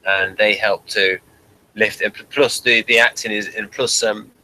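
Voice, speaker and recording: Guy hosting a chatty review, bright forward voice, kinda hesitant and trails off. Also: fairly low pitch, fairly even intonation, unhurried, a little hiss or hum, poor audio. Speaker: host of review show. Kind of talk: casual unscripted review chat.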